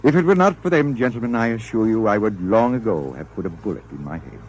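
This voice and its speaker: An actor playing a gracious, lonely older doctor, halting and emotional, in 1950s radio drama style. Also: male voice, on the low side, expressive, a little mellow, natural voice. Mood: wistful. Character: elderly doctor, gracious lonely host and family man. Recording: noisy vintage 1950s radio recording.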